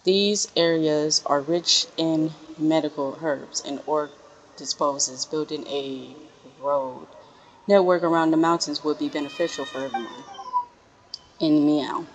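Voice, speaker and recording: Low-voiced, slow-reading young woman gamer. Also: slight background noise, rough recording. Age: young adult. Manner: halting reading, self-correcting. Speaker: gaming reaction streamer. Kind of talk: reading game text aloud with casual asides.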